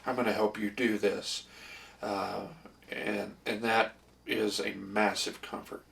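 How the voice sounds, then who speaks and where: Podcast co-host: middle-aged man, gravelly, halting, reflective, informal, slightly distant mic. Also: lowish voice, flat delivery, fairly bright tone. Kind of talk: casual reflective chat about faith. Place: small room with foam panels.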